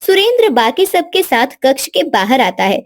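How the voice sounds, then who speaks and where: A woman narrator with a high-pitched voice tells a scripted story. The sound is dry and close, with no room echo, in the style of storytelling narration. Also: very animated, moderate pace.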